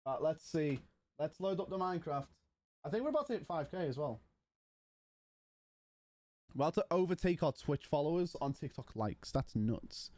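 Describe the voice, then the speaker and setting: A man's voice: fairly high pitch, a little mellow, dry recording. A man, casually chatting and bantering with his livestream chat. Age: 20s. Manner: banters with chat, mocking and playful. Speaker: streamer.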